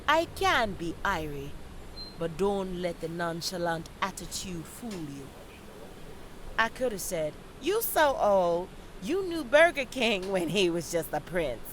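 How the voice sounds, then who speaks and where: An actress playing a proud, sharp-tongued, working-class Jamaican college student, fed up and sardonic, in a theatrical audio-play monologue. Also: female voice, fairly low pitch, takes it slowly, slight background noise, full character voice, rough recording. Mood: mocking. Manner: sardonic, animated, theatrical storytelling.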